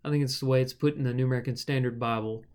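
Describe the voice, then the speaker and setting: A man hosting a podcast, talking through a Bible passage in a relaxed, explanatory way with a co-host. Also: medium pitch, rapid-fire. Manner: relaxed and explanatory, with frequent fillers. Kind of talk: conversational Bible teaching.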